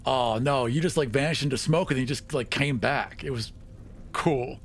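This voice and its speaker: A man's voice: mid-range voice, moderate pace, a little hiss or hum. A man improvising casually in a tabletop roleplaying game, informal and colloquial in his wording.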